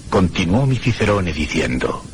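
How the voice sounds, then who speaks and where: An actor with a Castilian Spanish accent playing a troubled traveller who narrates an eerie radio drama in a measured, literary way. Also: male voice, low-pitched, sing-song, slight background noise. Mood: brooding, reflective. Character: troubled, brooding male traveller recounting an eerie tale. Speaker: narrator and protagonist. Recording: radio drama with music behind. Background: prominent music.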